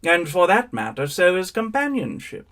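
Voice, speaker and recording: A man's voice: sits high, wildly swooping pitch, normal speed, a bit bright, voice pushed up, full character voice. An actor playing a witty, world-weary elderly male raconteur in an arch, languid theatrical monologue. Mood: arch, droll. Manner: arch, languid, epigrammatic delivery. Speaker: actor in a one-man stage show.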